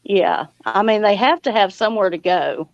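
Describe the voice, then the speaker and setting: A woman's voice: medium pitch, animated, normal speed, no echo. Folksy woman chatting on a lo-fi stream. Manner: folksy, says y'all. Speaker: live chat stream host. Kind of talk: casual chat with guests and viewers. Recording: dull lo-fi audio.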